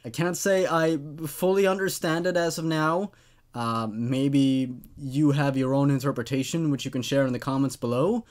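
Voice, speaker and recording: Man in his twenties, non-native English speaker, mid-pitched, storytelling host, close studio mic. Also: fairly even intonation, normal speed, darkish tone. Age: 20s. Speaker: YouTube host reading horror stories. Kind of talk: story narration and casual commentary. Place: small dark indoor room. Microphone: studio microphone on a boom arm, close.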